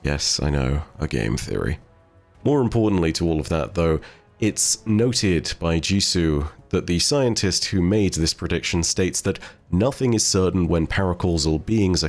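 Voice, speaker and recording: A man with a very low voice talks through theories like the host of a video essay. His delivery is conversational and speculative, often posing questions as he explains. Soft music plays underneath. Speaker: host of a game lore channel. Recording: voice over background music.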